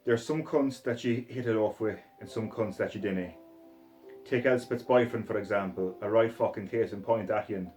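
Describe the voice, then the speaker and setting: A man's voice: on the low side, normal speed, darkish tone, soft background music, natural voice. A man reading aloud as a foul-mouthed, violent Scottish hard man, swearing and boastful in a Scottish accent. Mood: ranting. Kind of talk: reading a short story aloud in character. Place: sitting room floor.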